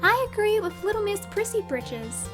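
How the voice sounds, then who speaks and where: A woman's voice: extremely high pitch, very animated, moderate pace. A woman playing a cheeky, forward young farmhand with a girlish voice in an audio drama. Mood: teasing. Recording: music behind the voice.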